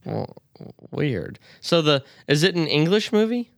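A man's voice: fairly high pitch, very animated, measured pace, vocal fry, no room sound, clean studio sound. A man in his early twenties co-hosting a podcast in casual conversation. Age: early 20s. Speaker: podcast co-host. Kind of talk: casual chat.

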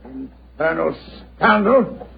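An actor playing an arrogant, hot-tempered landowning gentleman in an old radio drama, haughty and blustering. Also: fairly high pitch, expressive, slow pace, vocal fry, heavily acted. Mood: haughty, threatening. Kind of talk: 1940s radio drama acting. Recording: dull, noisy vintage radio recording.

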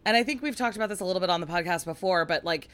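Woman podcast co-host with a croaky, worn voice, laughs a lot, casual chat. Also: lowish voice, very fast, a bit bright. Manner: giggly, laughs often.

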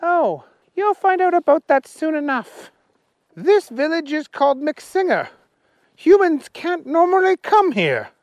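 A man voicing a small, officious male policeman dressed in yellow, in a high, stern voice. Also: very animated, unhurried, no room sound, heavily acted. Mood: surprised.

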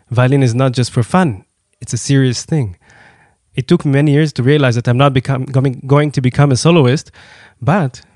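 A man's voice: mid-range voice, a little mellow, no room sound. A man you would hear hosting a personal storytelling podcast, recorded close in a dry space. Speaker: podcast host; violinist. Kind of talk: personal narration and conversation.

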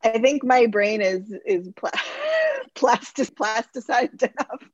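A woman in her thirties, a travel business owner and interview guest, talking in measured, reflective phrases and laughing often. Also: fairly high pitch, wildly swooping pitch, breathy edge, no room sound, muffled recording. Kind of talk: casual interview chat.

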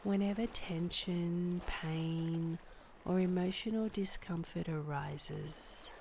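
A woman guiding a meditation in a soft, breathy, soothing voice with measured delivery and long pauses. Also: on the low side, steady tone, slight background noise. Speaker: meditation guide and podcast host. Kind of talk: guided body scan meditation, scripted narration.

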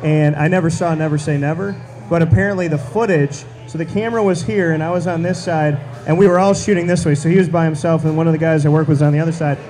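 A man hosting a casual chat, easygoing and quick to agree. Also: fairly high pitch, steady tone, a little mellow. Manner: easygoing, frequent agreeing interjections. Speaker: host.

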